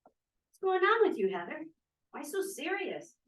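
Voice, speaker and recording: A woman's voice: very high-pitched, very animated, unhurried, a bit bright, full character voice. A woman acting an earnest, pragmatic young feminist activist in a stage play table read. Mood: concerned, frank. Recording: echoey room, distant mic.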